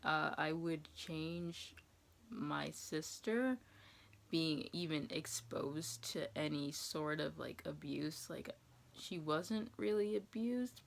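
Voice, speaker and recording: Creaky low-voiced young American woman. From New England, USA. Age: 20s. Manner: rambling, hesitant, thinking aloud. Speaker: vlogger answering viewer questions. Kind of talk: casual question-and-answer chat, reading questions aloud.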